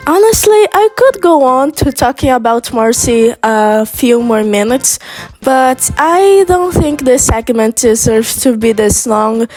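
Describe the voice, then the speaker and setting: A young Brazilian woman with Portuguese as her first language talks casually, with a slightly high, mellow voice. She rambles and restarts her sentences often. Faint music plays softly behind her. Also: low-quality recording. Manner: rambling, hesitant, many restarts. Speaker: video creator. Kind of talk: casual monologue.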